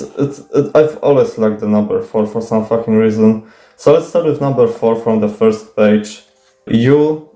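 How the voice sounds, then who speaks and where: A man's voice: fairly low pitch, warm tone. A young man, a native Polish speaker, nervously answering viewer questions off the cuff, stuttering and restarting often. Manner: hesitant, stuttering, many fillers and restarts; nervous, self-conscious, casual swearing. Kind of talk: unscripted Q&A monologue. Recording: echoey room, faint music.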